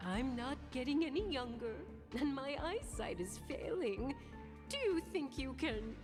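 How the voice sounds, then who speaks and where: A woman's voice: sits high, big pitch swings, measured pace. An actress playing a chatty elderly woman in a game cutscene, genteel, effusive and wheedling. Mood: plaintive. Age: older. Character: chatty, flattering elderly woman with failing eyesight. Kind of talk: scripted game cutscene acting. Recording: long reverb, music under the voice.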